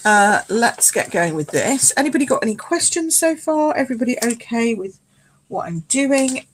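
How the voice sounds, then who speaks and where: A British woman with a croaky voice, hosting a live card-making class from home, chatting and greeting viewers by name as she works. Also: on the high side, animated, moderate pace, a little hiss or hum. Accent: British English. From United Kingdom. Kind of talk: casual live craft demo, chatting with viewers. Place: home craft space.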